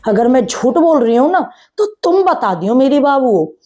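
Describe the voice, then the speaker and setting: High-pitched North Indian village-dialect woman vlogger. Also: normal speed. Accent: rural North Indian Haryanvi-Braj Hindi. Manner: animated, teasing, sarcastic direct address with rhetorical questions. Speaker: gossip vlogger. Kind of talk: casual chatty commentary on a family controversy.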